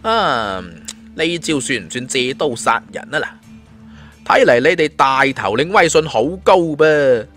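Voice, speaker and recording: Guy voicing cocky young fighter hero, taunting, scornful, lively pitch, audiobook. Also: measured pace, soft background music. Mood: shrewd, taunting. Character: cool, defiant, sardonic young male fighter hero.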